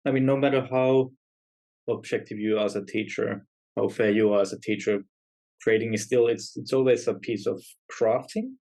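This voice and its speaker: A young adult man with a somewhat low voice talks as a guest academic. He explains ideas in a reflective way and laughs lightly now and then. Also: fairly even intonation, moderate pace, warm tone, poor audio. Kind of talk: academic interview conversation.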